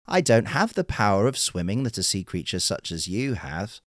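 A man's voice: fairly low pitch, very animated, normal speed, dry recording, clean studio sound. A man voicing a humble, curious fisherman in a storybook tale, speaking earnestly and modestly. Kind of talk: storybook character voice.

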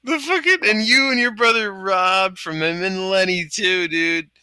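A man's voice: high-pitched, measured pace, sharp tone, smooth, no room sound, clean studio sound. A man in his thirties in casual chat, hesitant and profane, full of fillers and restarts. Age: 30s.

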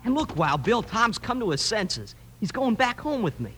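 A man's voice: high voice, very animated, normal speed, bright, forceful tone, exaggerated voice. A man voicing an eager, admiring young American boy in an old radio western. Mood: excited. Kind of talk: 1950s radio western acting. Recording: noisy old radio transcription.

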